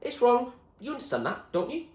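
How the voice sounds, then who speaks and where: A man's voice: very high-pitched, huge pitch swings, brisk, echoey room, pitched above the performer's own voice, exaggerated voice. A man playing a stubborn, idealistic and dreamy male friend in a storybook, speaking earnestly and insistently. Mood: firm, earnest. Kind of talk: acted storybook dialogue.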